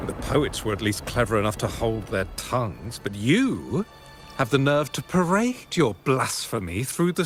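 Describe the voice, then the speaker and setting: A man voicing a contemptuous, mocking religious zealot in a video game, with a pompous, theatrical, sneering delivery. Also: mid-range voice. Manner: pompous, slow and scornful. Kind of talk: dramatic video game voice acting. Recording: voice under loud game music.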